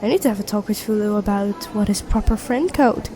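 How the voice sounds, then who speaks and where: A woman's voice: medium pitch, brisk, dark tone, natural voice. A woman playing a friendly, teasing young best friend with a secret crush in an intimate ASMR roleplay. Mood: teasing, flustered. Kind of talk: intimate ASMR roleplay acting, one side of a conversation.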